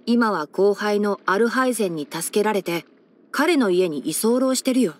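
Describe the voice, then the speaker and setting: A woman's voice: medium pitch, expressive, moderate pace, natural voice. Woman voicing a composed, scholarly young male forest ranger. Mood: dry, cautioning. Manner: composed, articulate, mildly wry, mentor-like explaining. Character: young male fox-eared forest ranger, scholarly, sensible, dryly caring. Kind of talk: scripted video game character voice-over.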